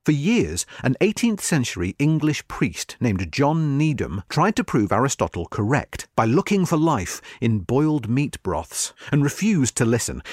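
A man narrating a scripted history article in formal, measured phrasing. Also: medium pitch, dry recording, studio quality.

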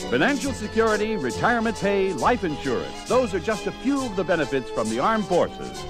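High, showy male radio announcer over music. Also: huge pitch swings, brisk.

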